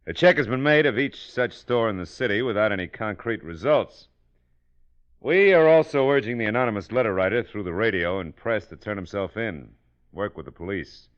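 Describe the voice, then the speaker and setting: An actor playing a businesslike male detective captain, halting and formal, in an old radio docudrama. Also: lowish voice, lively intonation, clear, resonant tone, close to an everyday voice. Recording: dull old radio recording.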